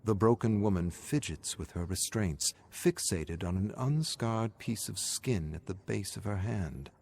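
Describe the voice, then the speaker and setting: A man's voice: fairly low pitch, animated, measured pace, creaky, a little mellow. A man reading a scripted horror story as a dramatic narrator.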